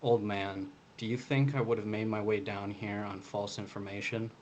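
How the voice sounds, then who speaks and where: A man's voice: on the low side, fairly even intonation, moderate pace, a little mellow, slight background noise, lightly acted. A man reading the part of a cold, cruel military commandant who menaces people in a novel. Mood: cold threat.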